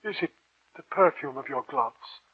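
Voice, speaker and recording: A man's voice: mid-range voice, lively intonation, slightly rough. An actor playing a concerned older professor, an earnest and solemn fatherly mentor, in a 1940s radio drama style. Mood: solemn warning. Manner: earnest, deliberate, solemn warnings. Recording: muffled vintage 1940s radio recording.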